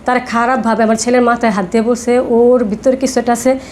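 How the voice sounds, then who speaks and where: A Bangladeshi woman speaks as a podcast guest in a somewhat high voice. She tells her personal story at a rapid pace, in an insistent, conversational way. Slight noise sits in the background. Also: level tone, darkish tone. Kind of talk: personal account in casual conversation.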